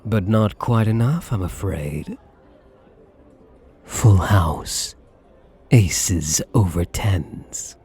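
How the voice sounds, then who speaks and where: A man playing a suave, possessive gambler in an intimate ASMR roleplay, flirtatious and quietly menacing, with a breathy voice and teasing, drawled pet names. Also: deep voice, lively intonation, drawn-out words, long pauses, exaggerated voice. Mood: smug.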